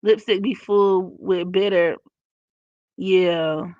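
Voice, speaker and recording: A young woman with a raspy voice hosting a casual live stream, reading comments and giving blunt, profane opinions with frequent laughs. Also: lowish voice, steady tone, very slow, no room sound, dull audio.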